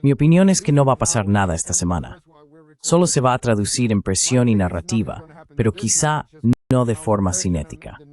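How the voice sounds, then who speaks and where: A man's voice: fairly low pitch, big pitch swings, a little fast, darkish tone. A man giving a translated voice-over of an interview guest's political analysis.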